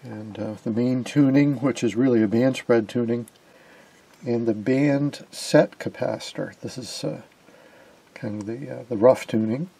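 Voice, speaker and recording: Creaky-voiced man explaining as he goes. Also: medium pitch, warm tone. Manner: hesitant, explanatory, pausing mid-phrase. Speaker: amateur radio hobbyist and presenter. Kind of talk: informal hands-on demonstration of vintage gear.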